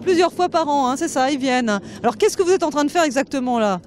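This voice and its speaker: A woman's voice: high-pitched, expressive, quick pace, darkish tone. A woman reporter interviewing people outdoors in a forest, chatty and hesitant with frequent fillers. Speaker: radio reporter.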